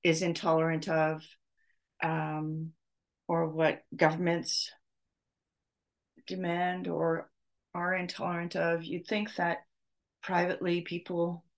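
Middle-aged female podcast host, low register, slow and hesitant, unscripted reflection. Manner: halting, searching for words, with long pauses. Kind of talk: off-the-cuff spoken reflection.

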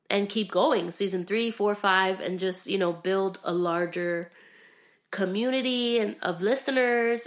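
A young adult woman hosting a podcast solo, talking casually in an effusive, earnest way. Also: mid-range voice. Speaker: podcast host.

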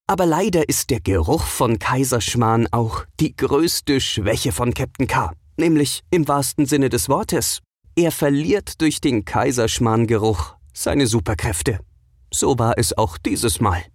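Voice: mid-range voice, unhurried, dry recording, clean studio sound. A narrator reading a scripted children's audio adventure as a storyteller.

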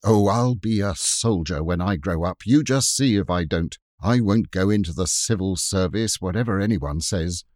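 A man's voice: low voice, moderate pace, few pauses, darkish tone, no echo, clean studio sound, close to an everyday voice. A man voicing a fierce, defiant young boy in a storybook. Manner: eager, defiant.

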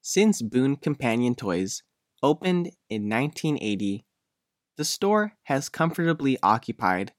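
A man's voice: mid-range voice, no room sound, clean studio sound. A man working as a reporter, reading a scripted news feature narration.

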